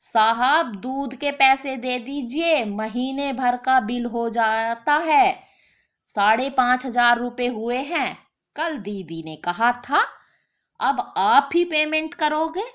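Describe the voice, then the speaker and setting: A woman's voice: on the high side, takes it slowly. A woman voicing a polite but insistent male milkman in a story. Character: male milkman politely demanding his monthly payment.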